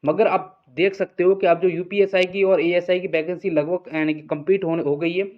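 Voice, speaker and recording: A man's voice: sits high, little pitch movement, fairly quick. A man speaks like a coaching teacher briefing students. He explains informally, circles back over his points and keeps checking in. The recording is dull and lo-fi. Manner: repetitive, explanatory, frequent check-in tags. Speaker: exam coaching teacher and channel host. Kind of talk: informal exam update addressed to students.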